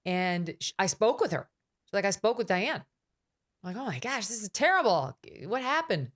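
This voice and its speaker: A middle-aged woman hosting a podcast interview, telling stories in a quick, animated way. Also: medium pitch. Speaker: host.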